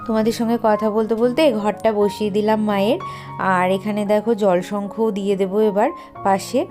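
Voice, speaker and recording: A woman's voice: medium pitch, flat delivery, a little fast. A young woman vlogger speaks with a West Bengali accent over faint music. She talks in a familiar, chatty way, as if to friends, and drops English words into her everyday narration. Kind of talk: casual daily vlog narration addressed to viewers.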